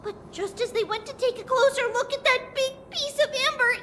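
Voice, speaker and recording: A woman voicing an excitable, curious little girl in a video game, with a childlike manner and easily alarmed. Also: very high voice, a little fast, in a large hall, slight background noise. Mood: anxious. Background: background music.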